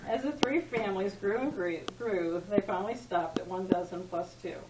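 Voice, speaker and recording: A woman's voice: mid-range voice, normal speed, a loud crowd. A woman reading a rhyming poem aloud at a party in an informal, amateur way, stumbling now and then. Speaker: family member reading a tribute poem. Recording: echoey room, distant mic, background noise.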